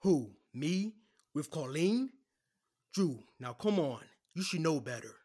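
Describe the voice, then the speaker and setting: A man's voice: a little high, very animated, slow pace, darkish tone, exaggerated voice. An actor playing a defensive, placating male lawyer who has betrayed his client, in an audio drama.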